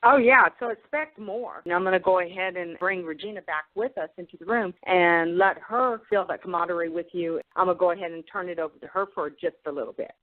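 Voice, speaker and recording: A woman's voice: lowish voice, a little fast, hardly any pauses, no room sound. A woman co-hosting an interview show in a casual conversational style. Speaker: podcast co-host. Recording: dry, narrow telephone-like audio.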